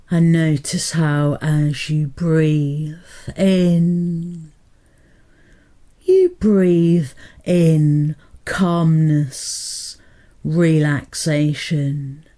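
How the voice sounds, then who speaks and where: A woman hypnotherapist guiding a meditation in a soothing, deliberate manner, pausing between short phrases. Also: low voice, mellow. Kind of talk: guided meditation hypnotherapy narration.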